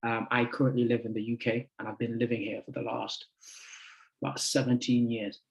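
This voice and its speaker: Guest speaker, 20s male, easygoing, halting delivery, middle pitch. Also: little pitch movement, gravelly, lo-fi sound. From United Kingdom. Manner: friendly, chatty, halting with many fillers. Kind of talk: casual talk to an online group.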